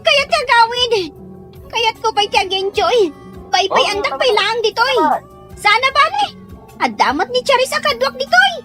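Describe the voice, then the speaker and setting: A woman's voice: very high voice, very animated, normal speed, music in the background. A woman acting in an amateur audio drama as a defiant, hard-partying young daughter, whiny and stubborn.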